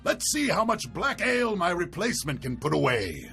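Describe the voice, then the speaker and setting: An actor playing a proud, hearty older warrior chieftain in a video game, speaking in a stately, commanding way. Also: male voice, high-pitched, wildly swooping pitch, normal speed, gravelly, bright, forceful tone, slightly rough, soft background music, heavily acted. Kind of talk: video game cutscene acting.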